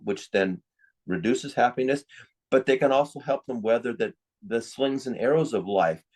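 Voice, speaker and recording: A man's voice: mid-range voice, a little mellow, husky, low-quality recording. Candid male psychiatrist in interview. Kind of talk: conversational expert interview.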